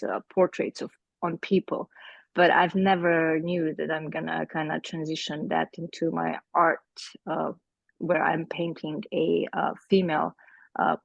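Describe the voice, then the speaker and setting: Gravelly Slovenian-accented woman, hesitant. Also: low-pitched, dry recording, lo-fi sound. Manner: hesitant, searching for words, many fillers. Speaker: artist presenting her work to a group. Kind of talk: casual group meeting talk. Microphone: video call.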